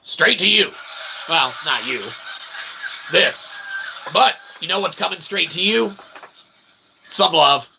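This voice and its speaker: A man hosting a philosophy monologue, speaking in wordy pseudo-academic phrases with slangy asides and a gravelly, creaky voice. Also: fairly high pitch, lively intonation, slow pace, long pauses, noisy background. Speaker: host of an independent philosophy show.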